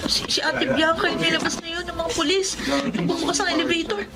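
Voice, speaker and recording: High-voiced, emotional young daughter. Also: female voice, steady tone, fast. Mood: anxious, shocked. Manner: emotional, pleading, dramatic. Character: tearful young woman, remorseful daughter and loyal cousin. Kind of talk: Filipino radio drama acting. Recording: dramatic music underneath.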